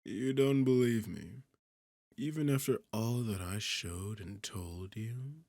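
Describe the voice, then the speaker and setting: A man voicing an arrogant, seductive demon king in an ASMR roleplay, taunting the listener in a condescending, theatrical manner with a husky, gravelly voice. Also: on the low side, a little mellow, no room sound, voice pushed up. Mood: mock exasperated. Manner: taunting, condescending, theatrical, slow deliberate phrasing.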